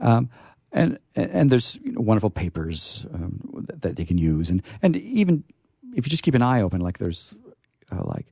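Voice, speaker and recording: Male radio host, lively swooping intonation, dry close sound, rambling, playfully theatrical. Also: lowish voice, moderate pace, gravelly, breathy edge, no room sound. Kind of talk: chatty live radio talk.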